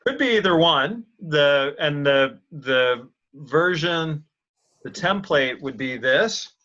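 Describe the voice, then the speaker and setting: A man leading a casual work conference call, thinking aloud as he presents and often pausing to restart his sentences. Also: on the high side, lively intonation, sharp tone. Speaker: meeting leader presenting slides. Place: online video conference call. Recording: muffled, lo-fi conference call audio.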